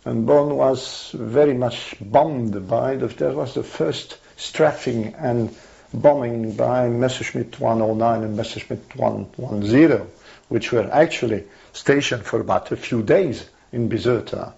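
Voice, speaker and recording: Gravelly older Frenchman, halting. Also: mid-range voice, a little mellow. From France. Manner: hesitant, many fillers and restarts. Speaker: interviewee. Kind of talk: oral history interview. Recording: dull lo-fi archival tape.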